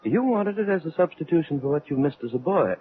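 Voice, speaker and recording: An actor playing a stern, gloomy older doctor of science in a radio drama, formal and admonishing in manner. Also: male voice, fairly high pitch, big pitch swings, fast. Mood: brooding. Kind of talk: old radio drama acting. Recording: thin phone-like old radio recording.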